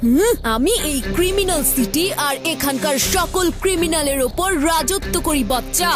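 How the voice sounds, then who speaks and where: A woman's voice: very high voice, expressive, moderate pace. A woman playing a vengeful, boastful villain who commands a criminal army, menacing and gloating, in a children's cartoon. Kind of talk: dubbed children's cartoon acting. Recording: music behind the voice.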